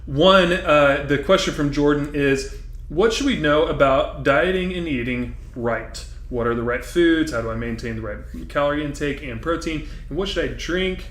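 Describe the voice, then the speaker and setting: A man's voice: fairly high pitch, moderate pace, a bit bright. A man from Texas hosting a podcast, chatting casually and reading out listener questions, with friendly joking asides. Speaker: podcast host. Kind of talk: casual interview chat. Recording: roomy echo.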